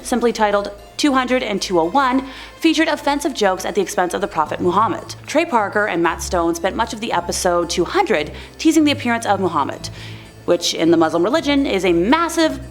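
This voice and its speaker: A woman in her twenties narrates a countdown as its host, in a fairly high voice that swings widely in pitch. She mixes scripted reading with playful, slangy asides to the viewer. Music plays behind her. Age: 20s. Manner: informal, playful, folksy slang. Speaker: host of a scary countdown video channel. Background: background music.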